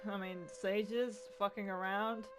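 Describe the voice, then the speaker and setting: A woman's voice: medium pitch, steady tone, measured pace. A woman giving casual, sarcastic game playthrough commentary, informal and given to swearing. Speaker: gaming video host.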